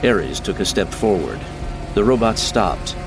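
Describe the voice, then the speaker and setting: A man's voice: on the low side, big pitch swings, unhurried, gravelly, music in the background. A man giving scripted, dramatic narration of science fiction as an audiobook narrator.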